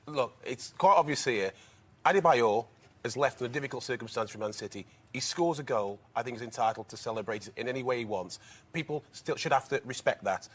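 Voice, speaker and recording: A man debates football as a studio pundit. He is emphatic and opinionated, with a mid-range pitch. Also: brisk, a bit bright, breathy edge.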